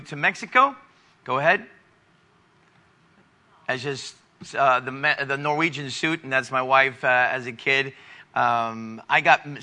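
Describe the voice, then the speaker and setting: A man in his forties, a missionary giving a church testimony over slides, halting with many fillers and restarts. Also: a little high, pushed and bright. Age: 40s.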